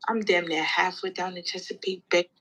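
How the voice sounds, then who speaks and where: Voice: medium pitch, fairly even intonation, normal speed, bright, forceful tone. An actor as a boatman telling his storm story in an audio play. The delivery is colloquial storytelling, like someone recounting it directly to a listener. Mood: earnest recounting.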